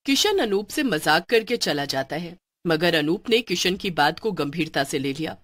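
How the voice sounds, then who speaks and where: A woman narrating a cartoon story in a lively storytelling manner. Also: fairly low pitch, normal speed, no room sound.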